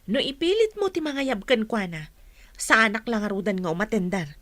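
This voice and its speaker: A woman playing a gentle, submissive mother with a Filipino accent and a husky voice, placating in a radio drama. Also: a little high, expressive, a little fast, dry recording.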